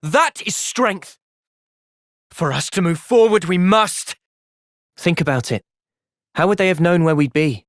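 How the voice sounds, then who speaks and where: An actor playing a thoughtful, gentle but resolute young male soldier in a video game, with a British accent and a sincere, measured delivery. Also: sits high, expressive, no room sound, studio quality. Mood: resolute, impassioned. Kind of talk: video game cutscene voice acting.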